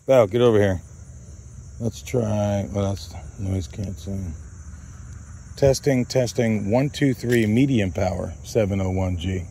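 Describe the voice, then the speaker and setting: A man's voice: lowish voice, a little slow, creaky, warm tone, slight background noise. A man hosting an outdoor radio range test, repeating test calls and calling to his dog in an open field, recorded on a body-worn camera. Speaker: radio hobbyist host.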